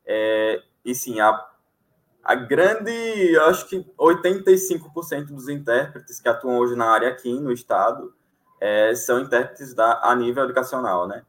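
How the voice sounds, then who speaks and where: A man's voice: medium pitch, normal speed. A young man from Alagoas, Brazil, a sign language interpreter, chatting casually in an interview with frequent fillers and easy laughter. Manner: relaxed, many fillers, laughs easily.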